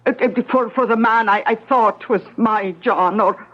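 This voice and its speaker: A woman's voice: fairly high pitch, a little slow. An actress playing a bewildered, devoted policeman's wife in a 1970s radio drama, hesitant, earnest and stammering. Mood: confused, distressed. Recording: dull 1970s AM radio broadcast recording.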